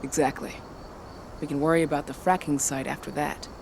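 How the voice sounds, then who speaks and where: Game casting: pragmatic rebel hacker comrade, slightly high male pitch, casual, frank, clearly noisy background. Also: little pitch movement, brisk. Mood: businesslike. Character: pragmatic, supportive rebel comrade and tech saboteur. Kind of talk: video game cutscene acting.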